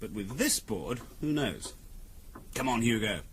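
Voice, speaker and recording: An actor playing a keen, persuasive middle-class English husband who loves antiques, in 1970s radio drama style. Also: male voice, lowish voice, big pitch swings, unhurried. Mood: eager, cajoling. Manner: eager, wry, persuasive. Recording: noisy old radio drama recording.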